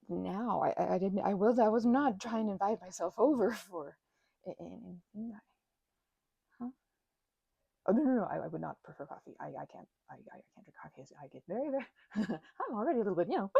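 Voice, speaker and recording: Woman doing a shy flustered vampire girl, stutters a lot, breathy and creaky, super close dry audio roleplay. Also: lowish voice, darkish tone, no echo, dull audio, heavily acted. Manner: stammering, flustered, nervous giggles, trailing into breathy murmurs. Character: timid, grateful, nervously rambling female vampire. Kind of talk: intimate audio roleplay to the listener.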